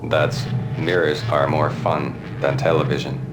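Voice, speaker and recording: An actor voicing a weary cop narrating in a flat, deadpan, hard-boiled noir delivery. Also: male voice, very deep voice, unhurried. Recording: loud game music and noise behind.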